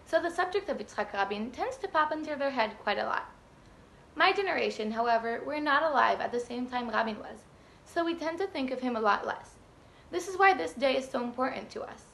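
High, creaky-voiced teenage female student. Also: a bit bright, slight background noise. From Israel. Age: teens. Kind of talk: prepared personal reflection speech. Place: indoors in a home room.

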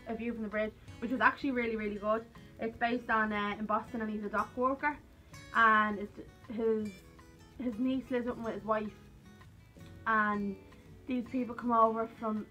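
A young woman vlogger with an Irish accent talks casually to camera. Her voice is bright and a little on the high side, and she wanders into self-deprecating asides. Music plays faintly behind her. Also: poor audio. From Ireland. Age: young adult. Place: small cluttered room at home, indoors.

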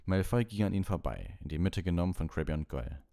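A man's voice: deep voice, expressive, fast, creaky, warm tone, no echo, studio quality. A man reading a story aloud as an audiobook narrator. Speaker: audiobook reader and streamer.

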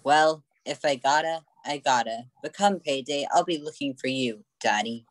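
A man's voice: fairly high pitch, normal speed, no echo, lightly acted. A man playing a reluctant, slangy young boy in an amateur old-time radio play. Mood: demanding.

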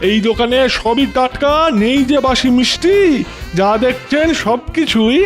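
A man's voice: very high-pitched, a little slow. A man voicing an eager, boastful sweet seller in a cartoon, with sing-song rhyming sales patter. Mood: eager, coaxing. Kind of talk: cartoon voice acting. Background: music behind the voice.

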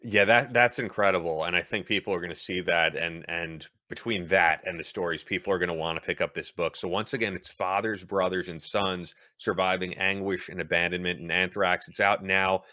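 A man in his thirties hosting a podcast interview, with a bright, forceful voice and a fairly low pitch. The sound is dry and close. He speaks in a promotional way, plugging links and release dates. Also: fairly even intonation, a little fast, few pauses, no room sound. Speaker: podcast host.

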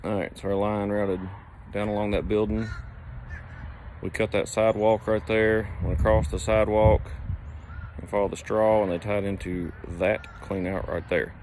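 A man's voice: lowish voice, flat delivery, normal speed, darkish tone, slight background noise. Laid-back man vlogging outdoors. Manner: easygoing, rambling talk with fillers. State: sore back from heavy lifting. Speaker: plumbing company owner. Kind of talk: casual selfie vlog. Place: outdoors by a brick building on a job site. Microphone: phone at arm's length.

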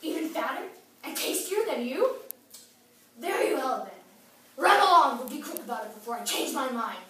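Mean, hungry old troll played by a woman, very high-pitched, bossy bellowing, distant in an echoey room. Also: big pitch swings, moderate pace, a crowd in the background. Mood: greedy, grudging. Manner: rude, bossy, hollering. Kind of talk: children's fairy-tale play. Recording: echoey room, far from mic.

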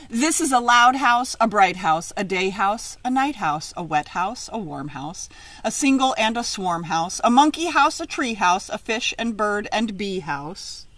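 A middle-aged woman reads a children's picture book aloud outdoors, with expressive, emphatic, sing-song phrasing. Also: medium pitch, measured pace, no echo. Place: outdoors in a leafy garden.

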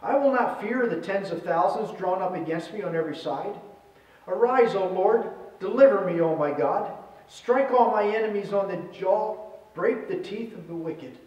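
Male pastor, middle-aged, high voice, measured sermon phrasing, recorded from a distance. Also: expressive, a little mellow. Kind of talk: sermon with scripture reading. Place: indoors at a church pulpit. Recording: roomy echo, distant microphone.

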